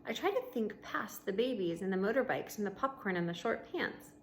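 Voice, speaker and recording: A woman reading a children's book aloud like a teacher to pupils, expressively, with deliberate pauses between short phrases and casual explanations. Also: medium pitch, expressive. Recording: noticeable background noise.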